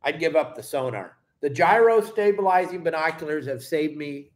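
A man's voice: on the high side, animated, moderate pace. A middle-aged man who works as a fishing guide and boat captain gives a casual video lesson to camera from a room at home, talking in a folksy, emphatic way and calling his viewers gang.

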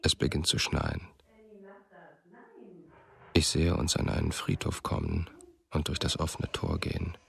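An actor playing a brooding, haunted writer in a radio drama, gravelly and halting, speaking an introspective monologue. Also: male voice, rumbling low, little pitch movement, a bit bright, exaggerated voice. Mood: haunted, somber. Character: brooding male writer haunted by memories and longing.

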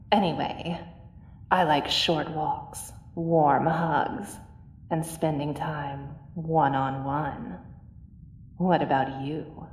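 A woman playing a gentle, earnest, semi-ghostly alien on a date in an audio roleplay, recorded distant and echoey with some noise. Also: sits low.

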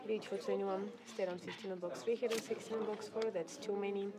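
A woman's voice: mid-range voice, steady tone, quick pace, a loud crowd. A young woman working as a casino dealer, politely announcing the game in formal set phrases. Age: young adult. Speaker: live casino blackjack dealer. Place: indoor casino studio.

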